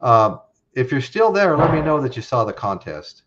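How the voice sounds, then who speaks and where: A man's voice: mid-range voice, very animated, normal speed, a bit bright, dull audio. Rambling man hosting a live stream. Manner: rambling, laughs often, many fillers. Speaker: host. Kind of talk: casual live-stream chat.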